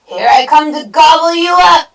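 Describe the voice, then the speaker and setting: Heard a little way from the mic, a slobbering, greedy troll acted by a woman bellows and roars. He is a bullying storybook monster, pitched up and loud. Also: sing-song, slow, recorded from a distance, poor audio. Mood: roaring, greedy. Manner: roaring and bellowing. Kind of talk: children's storybook read-aloud.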